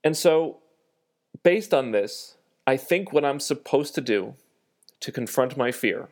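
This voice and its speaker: A man with a nasal voice hosting a solo podcast, thinking aloud in a reflective, self-deprecating way with frequent pauses. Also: medium pitch, expressive. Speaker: podcast host.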